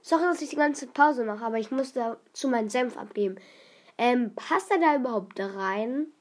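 Chatty woman reacting, slightly high. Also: lively intonation, moderate pace. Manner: chatty, questioning, hesitant with fillers. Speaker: reaction commentator. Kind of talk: casual reaction commentary over a video.

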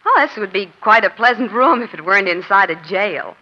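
A woman's voice: medium pitch, lively intonation, brisk, natural voice. A woman playing a savvy, chatty American newspaper reporter who feigns casual innocence in a 1940s radio crime drama. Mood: politely sympathetic. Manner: breezy, chatty, slyly casual. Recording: dull, lo-fi old radio recording.

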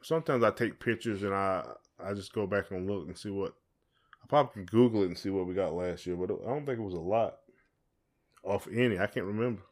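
A man's voice: deep voice, fairly quick. A man chatting casually and colloquially as a podcast co-host. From southern United States. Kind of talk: casual chat with spouse.

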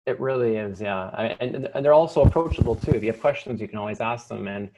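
A young man, an opera tenor, answering interview questions in a thoughtful, halting way with many restarts. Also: mid-range voice, noisy background. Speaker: opera singer, light lyric tenor. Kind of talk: interview conversation.

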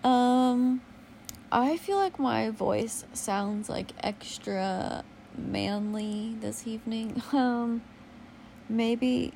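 A young woman hosting a casual solo podcast with a naturally low voice, talking in a candid, rambling way and swearing casually. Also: drawn-out words, vocal fry, darkish tone, a little hiss or hum. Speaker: podcast host.

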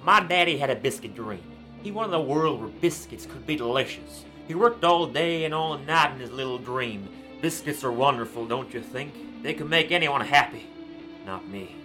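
A man's voice: medium pitch, lively intonation, normal speed, pushed and bright, soft background music. A man playing a pompous, crude biscuit heir and war veteran in a darkly comic radio play, with a theatrical delivery. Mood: bitter reminiscing.

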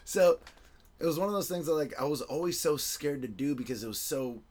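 A man's voice: on the high side, lively intonation, fairly quick, a little mellow. Rambling man with a Midwestern accent. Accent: American Midwestern. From Venezuela. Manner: rambling, heavy on filler words, swears casually. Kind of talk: casual chat.

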